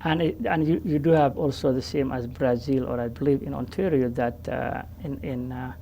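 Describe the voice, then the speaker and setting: Female host, very low and gravelly, halting delivery full of fillers. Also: fairly even intonation, dark tone, slight background noise. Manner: halting, many fillers and restarts. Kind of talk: casual interview.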